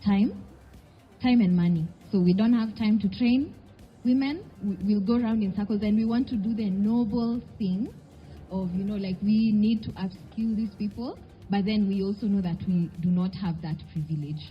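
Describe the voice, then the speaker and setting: A woman's voice: mid-range voice, moderate pace, soft background music. A woman speaking on a panel, conversational with frequent "you know, like". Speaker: panelist, head of talent. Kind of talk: panel talk. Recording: dull lo-fi event audio.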